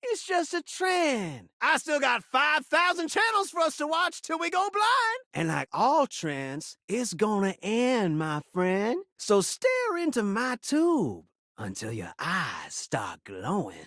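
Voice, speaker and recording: Flamboyant high-pitched talking TV showman. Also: huge pitch swings, takes it slowly, sharp tone, dry recording, studio quality, exaggerated voice. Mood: smooth, boastful. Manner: theatrical TV-announcer patter, playful, dramatic. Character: flamboyant talking television set, needy, rhyming showman. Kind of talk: rhyming video game character lines.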